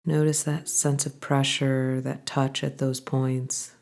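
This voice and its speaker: A woman guiding a body scan meditation, giving calm, gentle instructions in an unhurried way with pauses. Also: a bass voice, vocal fry. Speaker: meditation guide.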